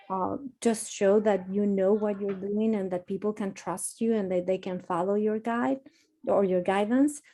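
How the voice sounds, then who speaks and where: A woman with a Spanish accent, telling a candid personal story on a panel in an earnest, halting way with many restarts. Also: mid-range voice, warm tone. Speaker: panelist, architecture author.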